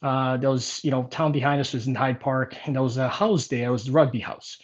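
A man's voice: mid-range voice, steady tone, brisk. A man from Portugal, a native Portuguese speaker, telling his own story as a guest in a casual, rambling way. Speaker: interview guest, business owner. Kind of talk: casual chat, personal storytelling. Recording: slightly dull, lo-fi audio.

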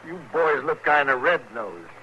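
An American actor playing a cocky, needling captured outlaw with folksy, talkative speech in a 1950s radio western. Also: male voice, a little high, very animated, measured pace. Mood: mocking. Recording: dull, noisy old radio recording.

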